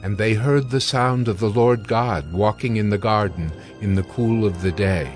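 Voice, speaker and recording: Brief: male narrator for scripture, lowish voice, very slow and deliberate, faint music bed. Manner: slow, steady, deliberate reading.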